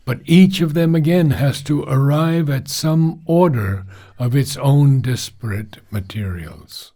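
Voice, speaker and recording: A man reads a philosophical text aloud at a very unhurried pace. His voice is mellow and husky, set at an average male pitch. He delivers the formal prose in measured, deliberate phrases with pauses between them. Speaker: reader of a philosophical book.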